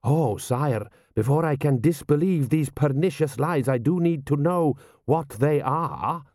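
A man's voice: on the high side, unhurried, a little mellow, no room sound, clean studio sound, voice pushed up. A man voicing a careful, deferential general and royal adviser with a diplomatic, courteous manner. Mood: soothing.

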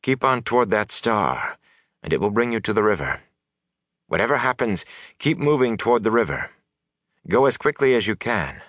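A man voicing a grave, commanding sorceress who speaks in measured, solemn warnings for an audiobook. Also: on the low side, big pitch swings, a bit bright, no echo, studio quality, pitched above the performer's own voice. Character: stern, commanding sorceress, grave and urgent woman.

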